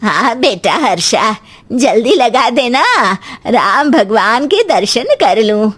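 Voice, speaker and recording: An older mother-in-law from a household tale voiced by a woman. She speaks slowly, stretching and coaxing her words. She is homely, chatty and fondly bossy. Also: a little high, big pitch swings, a bit bright, heavily acted.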